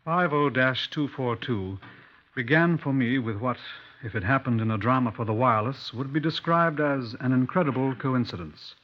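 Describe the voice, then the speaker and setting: Male actor as composed British detective inspector, measured, formal, middle pitch, 1950s radio play, muffled sound. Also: slight background noise, lightly acted. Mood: grave, reflective. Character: composed, probing Scotland Yard police inspector. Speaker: actor in a radio crime drama. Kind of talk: 1950s radio drama acting and narration.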